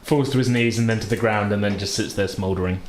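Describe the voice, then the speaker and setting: A man's voice: lowish voice, fast, noisy background. A man acting as game master, narrating a tabletop game in an informal, conversational way. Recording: roomy echo.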